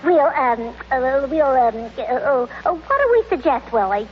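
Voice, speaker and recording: An actress playing an excitable, scatterbrained married woman in an old radio comedy, gushing, flustered and repeating herself. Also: sits high, measured pace. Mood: flustered, happy. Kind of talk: 1930s-40s radio comedy acting. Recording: muffled old radio recording with noise.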